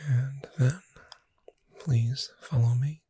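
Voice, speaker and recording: A performer voicing a gentle, reassuring witch bookseller in a breathy whisper, soothing the listener in an ASMR roleplay. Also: mid-range voice, fairly even intonation. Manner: slow, gentle, soothing, addressing the listener directly.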